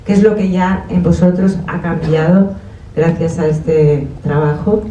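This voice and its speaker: A woman in the audience at a conference, asking the panel a measured, reflective question. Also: fairly low pitch, fairly even intonation, warm tone, slight background noise. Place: conference hall. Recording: dull, echoey hall audio from a distant mic.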